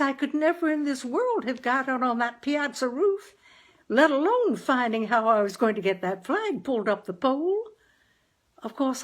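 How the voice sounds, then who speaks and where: A woman voicing a chatty, practical and earnest New England mother with folksy turns of phrase and a husky tone, in a story reading. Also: fairly high pitch. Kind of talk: audiobook character dialogue.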